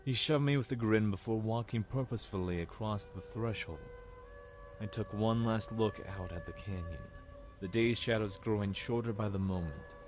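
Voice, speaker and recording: Narrator role: Egyptian prince looking back, voiced by a man, low register, wry, reflective, over prominent music. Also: normal speed, lightly acted. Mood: ominous, hushed. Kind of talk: scripted first-person narration.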